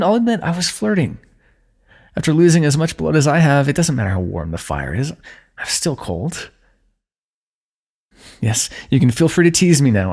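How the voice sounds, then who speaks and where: A man performing an intimate fantasy roleplay for ASMR, speaking softly in a teasing, affectionate way. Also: mid-range voice, creaky. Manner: soft, teasing and affectionate, with frequent light laughs.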